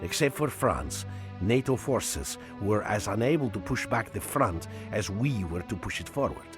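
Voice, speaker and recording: An actor playing a wry, war-weary Soviet officer, narrating a war game cutscene in a measured way. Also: male voice, on the low side, big pitch swings. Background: music.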